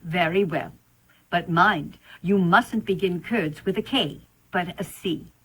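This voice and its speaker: A woman playing a kindly, reassuring nursemaid in a children's storybook play, gently instructing and indulgent. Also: fairly low pitch, slow, slightly rough, full character voice. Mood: kindly, instructive.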